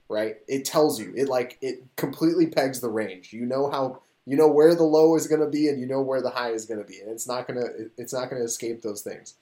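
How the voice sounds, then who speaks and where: A man in casual chat, retelling a show in an animated, rambling, excitable way. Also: mid-range voice. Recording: echoey room.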